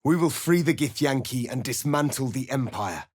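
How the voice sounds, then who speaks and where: A man playing a proud, stern alien warrior prince in a fantasy video game, speaking formally and gravely with commanding, measured delivery. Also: medium pitch, lively intonation, no room sound, studio quality. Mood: solemn, determined. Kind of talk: dramatic fantasy game dialogue.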